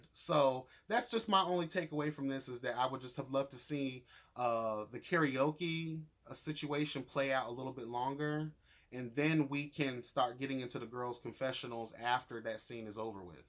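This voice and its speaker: A man's voice: medium pitch, moderate pace, fairly bright tone. A man giving casual, opinionated episode commentary as a vlogger, sassy and emphatic, with slangy wording and free swearing. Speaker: vlogger reviewing reality show episodes.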